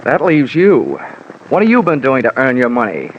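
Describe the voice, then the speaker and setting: An actor playing a wry, world-weary American insurance investigator in a 1950s radio detective drama, sardonic and wisecracking. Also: male voice, mid-range voice, huge pitch swings, normal speed, slight background noise. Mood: sardonic, impatient. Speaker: lead and narrator. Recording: dull old radio recording, music.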